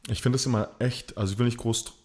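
A man's voice: medium pitch, steady tone, fast talker, darkish tone. A man in his forties, raised in Chile, talking as a guest on a casual podcast; he hesitates, restarts phrases and pokes fun at himself. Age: 40s. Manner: hesitant, self-deprecating, restarts often. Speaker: podcast guest, actor and coach.

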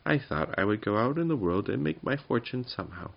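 A man's voice: mid-range voice, animated, brisk, vocal fry, dull audio, pitched above the performer's own voice. A man voicing a tall, friendly, modest male goblin in a breezy storybook manner.

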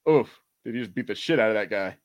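A man's voice: on the low side, lively intonation, fast. A man co-hosting a podcast, chatting casually through a movie watch party, swearing freely and acting out imagined lines. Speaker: podcast co-host.

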